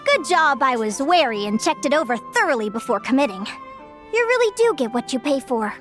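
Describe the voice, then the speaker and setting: A woman voicing a cheerful, adventurous young girl in a video game, with a bubbly, expressive manner. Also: sits high, fairly quick, soft background music. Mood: chatty, self-satisfied. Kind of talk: animated game voice acting, narrating diary and thinking aloud.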